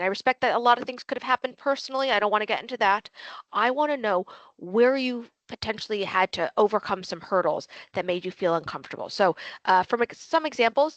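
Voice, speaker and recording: A woman's voice: mid-range voice, no room sound, dull audio. A woman academic advisor hosting an online workshop interview, asking long, chatty questions in a friendly way.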